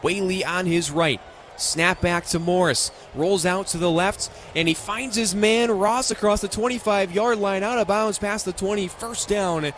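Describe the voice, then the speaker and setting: High-voiced American man calling football. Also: a little slow, fairly bright tone, slight background noise. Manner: clipped present-tense phrases. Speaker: radio play-by-play announcer. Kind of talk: live American football radio commentary.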